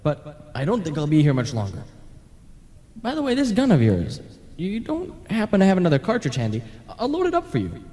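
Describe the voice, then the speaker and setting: A man acting a sly, sardonic male ghost who flatters smoothly and insinuatingly in a radio comedy play. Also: high-pitched, a little fast. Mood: slyly scheming. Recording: reverberant analogue tape of 1980s radio play.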